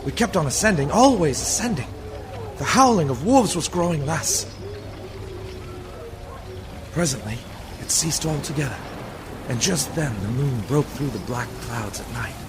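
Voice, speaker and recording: A man playing an earnest, uneasy young lawyer's clerk in a radio play, grave and dramatic, with a gravelly creak. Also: fairly high pitch, animated, unhurried, pauses often. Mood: mounting unease. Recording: noisy background behind voice.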